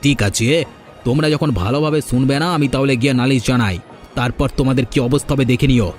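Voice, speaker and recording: A man playing a village boss's henchman in a folk-tale cartoon, pushy and quick to complain. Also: mid-range voice, soft background music, lightly acted. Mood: menacing. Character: village boss's henchman, threatening, then aggrieved and defiant.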